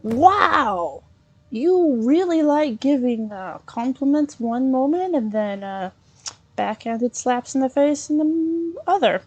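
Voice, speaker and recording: Female let's play gamer: higher voice, improvised roleplay, chatty, hesitant, thinking aloud. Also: lively intonation, slow pace, a little mellow, husky, muffled recording. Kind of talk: in-character improvised gameplay roleplay and commentary.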